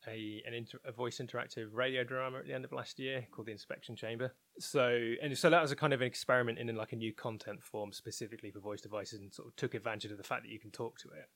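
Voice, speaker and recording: A man's voice: medium pitch, fairly bright tone, no echo. A man giving a conversational interview, thoughtful and hedging, often restarting his phrases. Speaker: interviewed research and development head.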